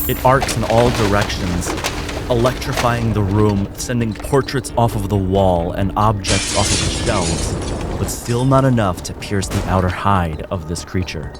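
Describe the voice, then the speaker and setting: Low-voiced man narrating a horror game. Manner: vivid, gory descriptive narration. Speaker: game master.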